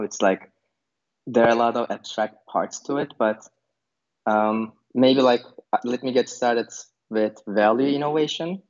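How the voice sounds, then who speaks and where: A man from Turkey answering interview questions about his work, thinking aloud with many fillers and restarts. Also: lowish voice. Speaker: podcast guest, product manager. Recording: dull lo-fi sound.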